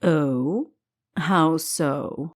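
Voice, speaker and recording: A woman voicing a wary, caring male doctor in an audiobook, speaking with deliberate care. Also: low voice.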